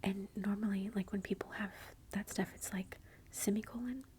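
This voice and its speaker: A woman's voice: medium pitch, fairly even intonation, moderate pace, a little hiss or hum. A woman, whispering a rambling, amused story in ASMR style. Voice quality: soft whisper. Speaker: ASMR vlogger.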